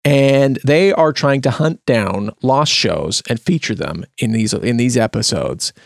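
A man's voice: medium pitch, animated, a little slow, creaky, no room sound, clean studio sound. A man hosting a podcast with casual, playfully dramatic banter. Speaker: podcast host. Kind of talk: casual comic banter and introduction.

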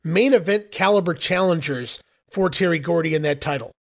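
A man hosting a podcast, recapping in casual chat with a hoarse voice. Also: fairly high pitch, a little fast. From northeastern Ohio. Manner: recapping, wraps up the show. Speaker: podcast host.

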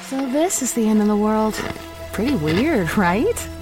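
A woman playing a resigned, self-deprecating zombie who narrates her own story in a deadpan, chatty way for an audio drama. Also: medium pitch, unhurried, music in the background. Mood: wry, resigned. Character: wry, resigned young female zombie narrator. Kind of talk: audio drama trailer monologue.

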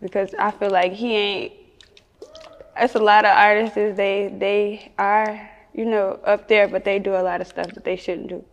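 A woman's voice: mid-range voice, level tone, unhurried, long reverb tail, muffled recording. A woman with a Chicago accent chatting casually as an interview guest, candid and slangy. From Chicago, United States. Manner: candid, slangy, often chuckling.

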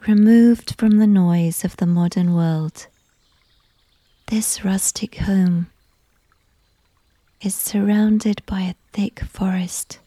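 A woman softly narrating a guided sleep visualization in a breathy, often near-whispered voice with a gentle, unhurried, soothing delivery. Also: mid-range voice, dry recording. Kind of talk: slow scripted sleep visualization, soft and whispery.

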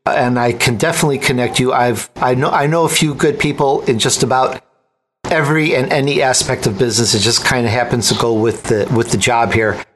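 A man's voice: mid-range voice, fairly even intonation, brisk, a bit bright, slight background noise. A man hosting an interview podcast, effusive and heaping praise on his guests. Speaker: show host.

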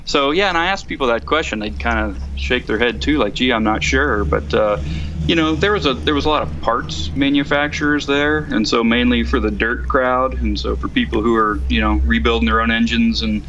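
A man's voice: medium pitch, brisk, lo-fi sound. Middle-aged man, noisy call-in recording. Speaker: call-in correspondent on a motorcycle podcast. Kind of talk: casual unscripted event report.

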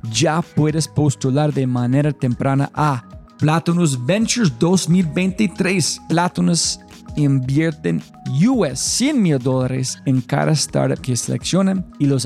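A man's voice: fairly high pitch, creaky, darkish tone. A man hosting a podcast, opening with an enthusiastic, emphatic intro and then interviewing a guest. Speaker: podcast host.

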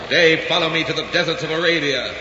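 A man's voice: a little high, fast talker. A man announcing and narrating a 1940s radio drama in a grand, declamatory manner. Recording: echoey, muffled 1944 radio recording with hiss.

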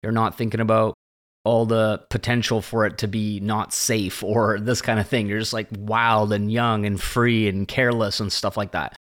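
A man's voice: lowish voice, flat delivery, normal speed, a bit bright. A man in his late thirties giving a rambling, reflective solo monologue as a podcast host, often tagging his points with "right?". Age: late 30s. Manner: rambling and conversational, often tags "right?" and "this kind of thing".

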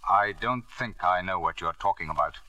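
An actor playing a guarded, disapproving doctor in vintage radio drama, dry and reproachful. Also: male voice, low voice, brisk, pushed and bright, rough recording. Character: guarded, disapproving bachelor doctor, old family friend. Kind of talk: 1940s-50s radio drama acting.

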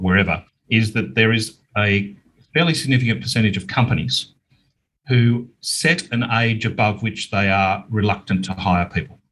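A man's voice: fairly low pitch, fairly bright tone, a little hiss or hum, poor audio. A mature man with an Australian accent, explaining things conversationally as an interview guest in a practical way. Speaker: interview guest, business owner and author.

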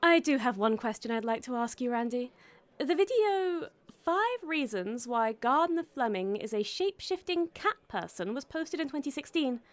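A chirpy, officious manager in an audio comedy. Her high voice is very animated and recorded close and dry, prim yet chatty. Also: normal speed, no room sound. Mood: earnest.

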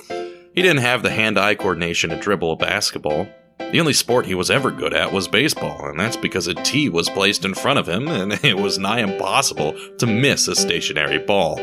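A man narrates a scripted audio drama over music, his voice slightly low and very expressive in pitch. He tells the story in a measured, dramatic manner, placing his pauses deliberately.

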